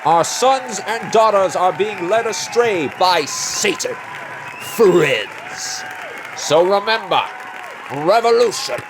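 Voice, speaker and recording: Man putting on a sanctimonious, high-pitched preaching politician. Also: animated, slow pace, crowd noise, noisy background, exaggerated voice. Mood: fervent, alarmed. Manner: sanctimonious, oratorical. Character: zealous book-burning male politician giving folksy preaching speeches. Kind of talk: dramatic comic reading of a political speech.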